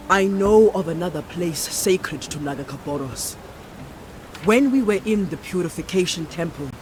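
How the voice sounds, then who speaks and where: Resolute, solemn priestess. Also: female voice, fairly low pitch, slight background noise. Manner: solemn, emphatic, deliberate declarations. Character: resolute, devout priestess champion defying her elders. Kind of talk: dramatic video game acting. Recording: music behind the voice.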